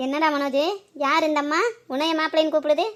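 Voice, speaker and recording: A woman's voice: high voice, little pitch movement, quick pace, a bit bright. A woman voicing a fussy, insistent middle-aged mother in a cartoon comedy, with a scolding, pressing manner. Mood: suspicious.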